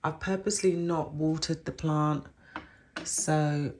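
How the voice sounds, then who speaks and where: Low-pitched woman, a bit snuffly, chatty plant vlog, rambles and corrects herself. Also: steady tone, slow pace, darkish tone, slight background noise. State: stuffy with a cold. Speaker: plant vlogger. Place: home room with houseplants.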